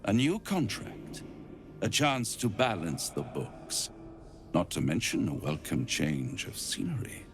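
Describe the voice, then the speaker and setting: Smug theatrical devil, deep voice, hugely expressive swoops, florid fantasy game delivery. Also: male voice, takes it slowly, faint music behind, exaggerated voice. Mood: silky, persuasive. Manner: pompous, florid, savouring each phrase. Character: suave, smug male devil, theatrical silver-tongued schemer. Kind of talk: theatrical fantasy game acting, rhyming and grandiose.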